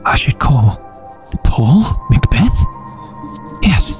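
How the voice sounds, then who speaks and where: A playful man talks through his round, swinging from casual chat into mock-dramatic narration. His voice is lively and slow, and music plays underneath him. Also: mid-range voice. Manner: playful and jokey. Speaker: disc golf vlogger.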